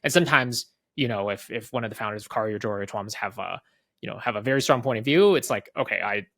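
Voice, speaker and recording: A man speaking as an interview guest, explaining casually in halting phrases with repeated words and frequent checking tags. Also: on the low side, a bit bright, no room sound, studio quality. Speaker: interview guest, head of product at a software company.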